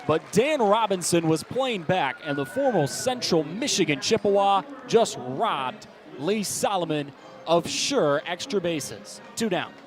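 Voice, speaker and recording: American guy doing baseball play by play, slightly high voice, clipped calls, bit of stadium noise behind. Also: lively intonation, measured pace. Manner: clipped present-tense narration, swelling into shouts on big plays. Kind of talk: live sports commentary. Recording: ballpark ambience behind the voice. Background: outdoor stadium background noise.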